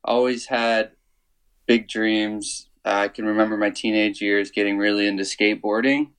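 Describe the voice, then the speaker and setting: A man in his twenties from Canada, a musician guest talking casually in an interview, low-key and hesitant, with many fillers and restarts. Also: fairly even intonation, moderate pace, fairly bright tone, clear, resonant tone. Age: 20s.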